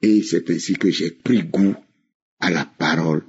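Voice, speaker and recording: A man in his sixties from Benin, giving a spoken testimony in a slow, emphatic way that repeats phrases. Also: sits low, husky, highs cut off. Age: 60s. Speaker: testimony caller.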